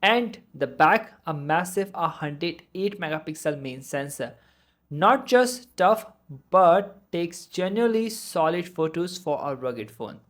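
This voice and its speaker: Tech reviewer doing a product rundown, mid pitched voice, informal and into it. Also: lively intonation, takes it slowly.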